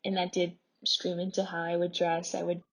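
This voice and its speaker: A young American woman reflects casually on her own life. Her voice sits a little low with plenty of vocal fry, and her pitch moves very little. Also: monotone, moderate pace. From Mexican family background. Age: young adult. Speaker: anonymous testimony participant.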